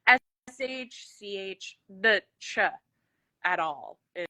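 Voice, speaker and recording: Bright-voiced woman host with a slight lisp. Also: mid-range voice, expressive, slow pace, no echo. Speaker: interview host. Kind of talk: casual chatty interview.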